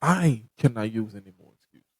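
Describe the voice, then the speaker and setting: A man's voice: medium pitch, expressive, fairly quick, slightly rough, no room sound. A man hosting a podcast, giving a direct, motivational talk that repeats its points. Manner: preachy, direct, repeats himself. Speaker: podcast host.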